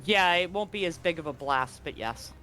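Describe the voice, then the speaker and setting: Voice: mid-range voice, animated, normal speed, pushed and bright. A game master running a live tabletop game, explaining the action and rules in a casual, conversational way. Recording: music behind the voice. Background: background music.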